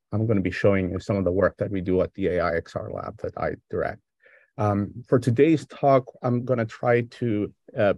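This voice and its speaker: A man's voice: fairly low pitch, dry recording. Middle-aged Greek-accented male lecturer, dry close sound, casual and conversational over a video call. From Greece. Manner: relaxed, conversational, with frequent fillers. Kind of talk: remote academic lecture. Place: home or office on a video call. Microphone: video call microphone.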